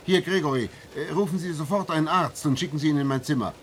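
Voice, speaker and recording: Actor as a higher-voiced official, noisy recording. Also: male voice, quick pace, close to an everyday voice. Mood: alarmed. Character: brisk, wry male government ministry official. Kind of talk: German science-fiction radio play dialogue.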